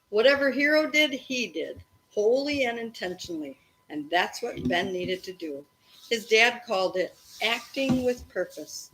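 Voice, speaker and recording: A woman reading a children's story aloud expressively, in an animated way meant to engage a young listener. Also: fairly high pitch, a little hiss or hum, rough recording.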